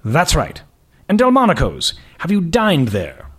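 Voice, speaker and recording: A man reading the part of a haughty, commanding magazine editor with an aristocratic accent, speaking slowly and clipped. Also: on the high side, wildly swooping pitch, breathy edge, heavily acted. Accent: upper-class East Coast with British touch.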